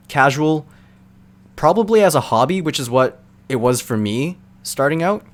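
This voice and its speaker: A young man with a mid-range voice talks loosely and casually as he answers live chat questions. He rambles with fillers like "like" and "um" and laughs easily. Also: medium pitch.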